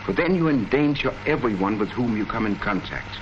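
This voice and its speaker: An actor playing an earnest army colonel who presents public health facts in a declamatory, rhetorical way, in the style of a 1940s education film. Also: male voice, fairly low pitch, animated, brisk, natural voice. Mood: earnest, explanatory. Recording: muffled, noisy 1940s film soundtrack.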